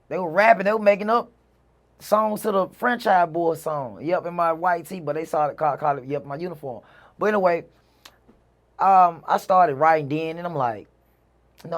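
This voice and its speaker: A man with a Mississippi Southern accent telling stories casually as an interview guest, in a slangy, repetitive way peppered with "you know". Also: a little high. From Mississippi, USA. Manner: slangy, repeats himself, frequent "you know". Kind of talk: casual conversational storytelling.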